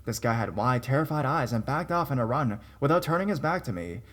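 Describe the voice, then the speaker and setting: A man's voice: medium pitch, animated, a little fast, fairly bright tone. Man narrating stories with wry asides. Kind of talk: scripted narration of reader stories.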